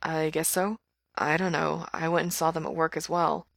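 A woman voicing a shy, understated young man calling a radio show in a story reading, quiet and halting. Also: low-pitched, flat delivery, gravelly, no room sound, clean studio sound, heavily acted. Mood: unsure, subdued.

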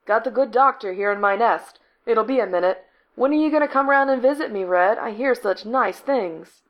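A woman's voice: on the high side, moderate pace, highs cut off, voice pushed up, lightly acted. A woman voicing an airy, flirtatious radio operator who teases playfully in an audiobook story. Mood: teasing, flirty. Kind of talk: acted audiobook dialogue.

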